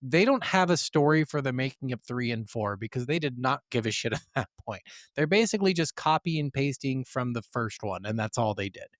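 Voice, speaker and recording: A man co-hosting a podcast in casual banter about video games, blunt, teasing and quick to swear. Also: mid-range voice, lively intonation, no echo, clean studio sound. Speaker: podcast co-host.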